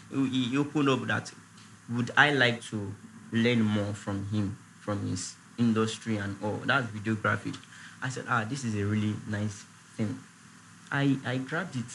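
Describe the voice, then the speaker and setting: A man, chatting casually as a guest on a talk show, relaxed and repeating his words. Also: mid-range voice, slight background noise, rough recording. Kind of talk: casual chat.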